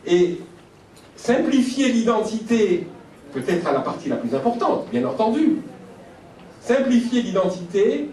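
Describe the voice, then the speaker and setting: A man from Algeria gives a conference talk in a high, light voice, thoughtful and measured as he stacks his points into lists. He is picked up from some distance away in a large, echoey hall, with a faint hiss of room noise underneath. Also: darkish tone. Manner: reflective, measured, with pauses and lists. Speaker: speaker at a conference. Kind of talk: lecture.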